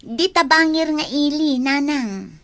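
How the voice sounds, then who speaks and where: A woman's voice: high voice, animated, unhurried, fairly bright tone, heavily acted. An actress with an Ilocano Filipino accent playing a gushing, boastful young woman in a radio drama. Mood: earnest. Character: boastful young woman chasing a rich boyfriend.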